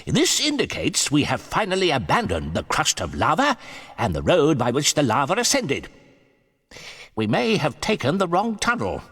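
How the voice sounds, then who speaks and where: A man's voice: fairly high pitch, wildly swooping pitch, moderate pace, a bit bright, breathy edge, pitched above the performer's own voice, full character voice. A man voicing a confident, exacting older professor and explorer, lecturing assertively in an audiobook adventure story. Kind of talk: audiobook character dialogue. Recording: long hall-like reverb.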